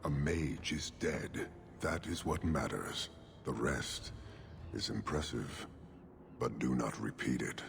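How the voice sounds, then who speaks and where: An actor playing a stern, imposing warrior commander in a video game, speaking formally and tersely with measured disdain. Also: male voice, rumbling low, vocal fry, a little hiss or hum, heavily acted. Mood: stoic, grave. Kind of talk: video game cutscene acting.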